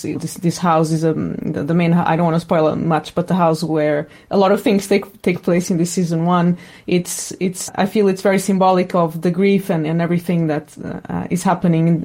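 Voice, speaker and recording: A woman's voice: lowish voice, mellow. A woman talks reflectively as an interviewed sound designer, thoughtfully searching for her words.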